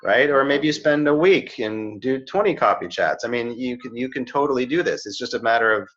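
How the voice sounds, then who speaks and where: A man's voice: medium pitch, a little fast, a bit bright. A middle-aged man giving career advice in a casual chat, earnest and often checking in with "right?" and "you know". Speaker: career coach. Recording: slightly dull, muffled audio.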